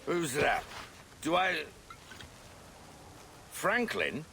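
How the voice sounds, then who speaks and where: An actor playing a crusty, foul-mouthed old sea captain who is gruff and hot-tempered in a video game. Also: male voice, fairly high pitch, wildly swooping pitch, very slow, sharp tone, slight background noise, full character voice. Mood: suspicious. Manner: salty, cursing, blunt. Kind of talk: video game character acting.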